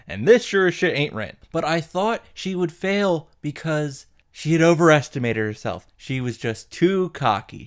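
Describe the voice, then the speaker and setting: A man hosting a casual, scripted comedic recap, swearing freely and retelling things in an exaggerated, mocking way. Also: mid-range voice. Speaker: video host reacting to and summarizing a visual novel.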